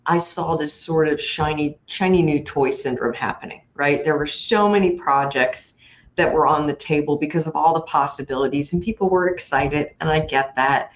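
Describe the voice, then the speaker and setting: A woman's voice: sits low, normal speed, rough recording. A woman hosting a conversational interview, affirming her guest and checking in as she makes her points. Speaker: podcast host, interviewer.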